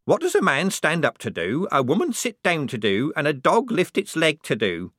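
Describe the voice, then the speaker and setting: Man putting on a high, gleeful eccentric aunt. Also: huge pitch swings, normal speed, a bit bright, dry recording, studio quality, full character voice. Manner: triumphant, shrieking. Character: tipsy eccentric aunt of about sixty, shrieking joker. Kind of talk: audiobook character voice.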